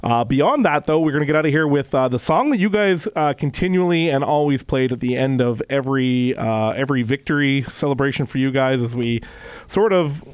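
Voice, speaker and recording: A man's voice: mid-range voice, brisk, dry recording. A man hosting a casual sports radio interview show from a studio, rambling and repeating words as he restarts sentences. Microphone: studio microphone.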